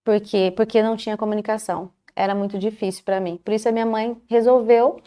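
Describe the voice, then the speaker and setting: A woman recounting her own life story in a calm, measured way, pausing often between short phrases. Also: medium pitch, clear, resonant tone. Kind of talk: first-person life story in a TV interview.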